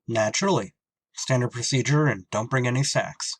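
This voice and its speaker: A man's voice: medium pitch, normal speed, no room sound. A man acting a wry, duty-bound shuttle pilot in a scripted retro radio drama. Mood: wry, dutiful. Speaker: actor and first-person narrator in an audio drama. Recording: clear background noise.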